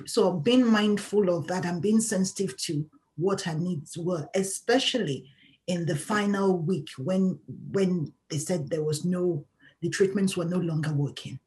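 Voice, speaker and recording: Outspoken woman with a lively voice. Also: mid-range voice. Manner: emphatic, outspoken, laughs often. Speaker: interview guest, patient advocate. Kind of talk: candid conversational interview.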